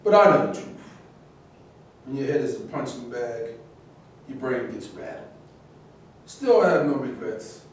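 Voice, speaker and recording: A man's voice: medium pitch, animated, a little slow, pauses often, natural voice. A man acting a proud, defiant retired boxer in an emphatic stage monologue at a staged reading. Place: live staged reading in a roomy space. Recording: very echoey room, distant mic, faint noise.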